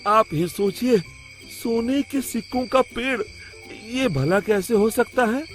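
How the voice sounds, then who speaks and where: A man's voice: animated, takes it slowly, faint music behind, heavily acted. A man voicing a naive, honest male servant in a cartoon story, pleading cautiously and deferentially with his master. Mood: worried pleading. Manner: pleading, deferential, high-voiced warnings.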